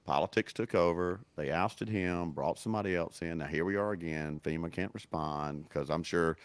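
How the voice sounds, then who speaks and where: A man's voice: deep voice, moderate pace, smooth, no room sound. A man co-hosting a podcast, talking casually and emphatically, often repeating himself for stress. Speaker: podcast co-host. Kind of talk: casual chat.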